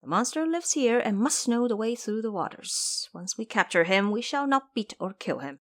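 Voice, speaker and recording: A woman's voice: on the high side, expressive, normal speed, natural voice. A woman voicing a clever, confident male monkey elder brother in an audio drama, wry and instructive. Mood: confident, advising. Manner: wry, instructive, sure of himself.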